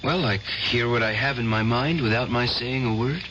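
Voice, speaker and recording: A man's voice: mid-range voice, moderate pace, lo-fi sound, lightly acted. An actor playing an earnest, touchy, intense young man in a radio drama, speaking insistently. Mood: earnest, confident. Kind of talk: 1970s radio drama acting. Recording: faintly noisy old radio recording.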